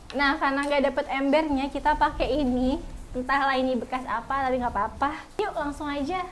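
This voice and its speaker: A woman's voice: high-pitched, brisk, slight background noise. A young woman reviewing products in a casual vlog, chatting to her viewers and calling them guys.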